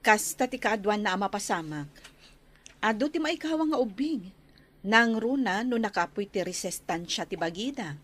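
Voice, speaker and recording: A woman's voice: mid-range voice, animated, moderate pace, fairly bright tone. A woman playing a caring, practical visiting nurse in a radio drama, with a Filipino accent and chatty manner. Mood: reassuring.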